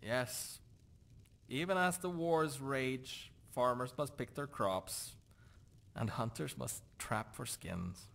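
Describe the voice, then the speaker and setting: A disillusioned lord from a fantasy game, acted by a man. His voice sits at a middling pitch, and he speaks in a dour, brooding way, as someone forever questioning his own purpose. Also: slow pace, vocal fry, a bit bright. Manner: gloomy, brooding, self-questioning. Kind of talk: video game character dialogue.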